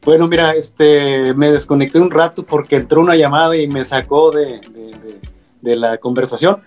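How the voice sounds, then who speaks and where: A man's voice: a little high, fairly even intonation, normal speed, a little mellow, faint music behind. A man who works as a radio broadcaster, speaking hesitantly with many fillers and repeated words during a casual panel chat.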